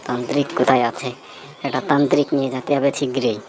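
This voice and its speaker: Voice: mid-range voice, flat delivery, fast, crowd noise, lightly acted. A storyteller voicing a worried village mother who scolds, in a cartoon ghost story.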